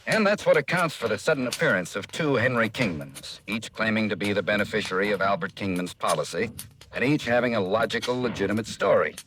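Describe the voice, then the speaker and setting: An actor playing a harried, folksy American insurance manager in a 1950s radio drama, talking in a conversational way. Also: male voice, fairly low pitch, animated, a little fast, natural voice. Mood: explaining. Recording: noisy, clicking vintage radio recording.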